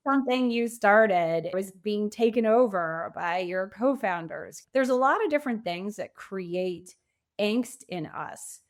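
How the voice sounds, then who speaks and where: Woman, medium pitch, podcast guest, reflective, articulate, emphatic phrasing with pauses. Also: animated. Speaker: podcast guest, pivot coach. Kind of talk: reflective interview answers.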